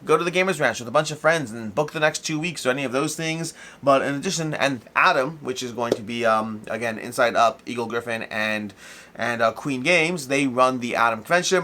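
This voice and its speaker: A man presents news as a show host in a quick, bright voice of ordinary pitch. His delivery is casual and unscripted, and he tends to ramble, circling back to repeat or fix what he has just said. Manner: rambling, repeats and corrects himself mid-sentence.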